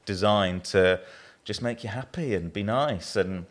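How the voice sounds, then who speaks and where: A man with a southern English accent answers interview questions about his work as a brewer. His voice sits low, and he rambles, restarting his sentences often and filling the gaps with ums and you-knows. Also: measured pace. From southern England. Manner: rambling, many restarts and fillers.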